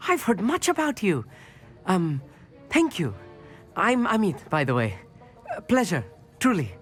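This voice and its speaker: A man's voice: high voice, wildly swooping pitch, unhurried, a bit bright, faint music behind, heavily acted. A man acting a polite, bookish teenage wizard in a video game, earnest, chatty and slightly awkward. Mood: friendly, earnest.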